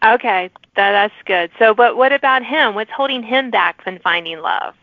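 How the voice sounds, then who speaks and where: A woman's voice: a little high, moderate pace, dry recording. Woman hosting, tinny phone-like sound. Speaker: podcast host. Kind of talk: conversational interviewing. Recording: dry, thin phone-like audio.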